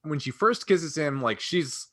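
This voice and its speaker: A man's voice: on the high side, animated, measured pace, fairly bright tone, slight background noise. A man co-hosting a podcast, talking casually in a review discussion. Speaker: podcast host.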